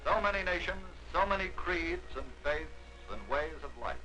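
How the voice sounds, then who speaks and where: A man's voice: a little high, over music, rough recording. Man narrating a 1940s war documentary. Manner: measured, declamatory newsreel delivery.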